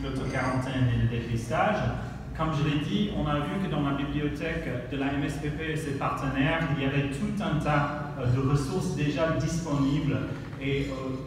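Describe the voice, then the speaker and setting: A man whose first language is English gives a conference slide presentation as a country director, halting often with fillers while he searches for words. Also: mid-range voice. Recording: echoey hall from far off, noisy.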